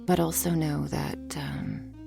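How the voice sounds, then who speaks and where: A woman's voice: deep voice, slow, vocal fry, dark tone, faint music behind. A woman hosting an ASMR channel, giving a casual half-whispered warning before a roleplay. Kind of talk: ASMR intro, casual aside.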